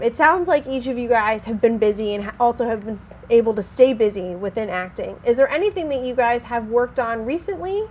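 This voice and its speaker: A woman's voice: a little high, slight background noise. Upbeat woman hosting a talk show. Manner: encouraging, laughs along with guests. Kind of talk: casual interview chat.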